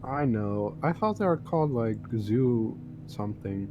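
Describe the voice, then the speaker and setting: A man's voice: mid-range voice, lively intonation, measured pace, close to an everyday voice. Man playing a boastful, grumbling jailed troublemaker. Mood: curious, needling. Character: boastful, grumbling jailed male terrorist, self-declared cool. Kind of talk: improvised online roleplay, casual chat.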